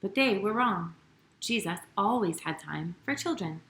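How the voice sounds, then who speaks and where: A woman pastor hosting storytime for young children, talking and reading aloud in an animated, sing-song manner straight to her listeners. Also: mid-range voice, unhurried, roomy sound.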